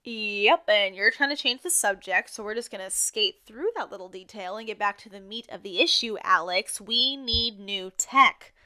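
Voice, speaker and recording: A woman's voice: medium pitch, moderate pace, few pauses, sharp tone, clear, resonant tone. A woman playing an outspoken radio host in an audio drama, with a dry, pointed, sardonic way of talking. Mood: wry, insistent. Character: outspoken, exasperated female radio host, wry and determined.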